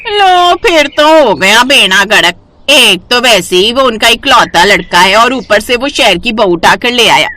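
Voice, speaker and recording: Scornful village gossip , acted by a woman: bright sharp voice, animated, mocking, cartoon tale. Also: fairly high pitch, unhurried, a little hiss or hum. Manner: mocking, exclaiming.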